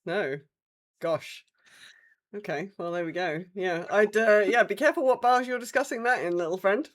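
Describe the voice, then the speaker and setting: A woman's voice: medium pitch, moderate pace. A woman co-hosting a gaming podcast with casual, hesitant, rambling chat. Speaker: podcast co-host.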